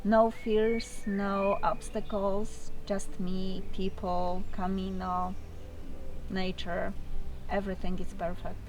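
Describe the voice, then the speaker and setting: A woman with a Polish accent gives casual interview answers at an unhurried pace. She talks in short, emphatic phrases, recorded a little way from the microphone. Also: mid-range voice, little pitch movement, far from the mic, over music, rough recording. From Poland. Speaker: interviewee, solo traveller.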